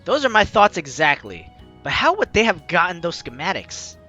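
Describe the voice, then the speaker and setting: Lively high-voiced man reading a game. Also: moderate pace, dull audio, faint music behind. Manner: lively, laughs, breaks off to comment. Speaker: let's play host reading a visual novel. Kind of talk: playthrough reading with commentary.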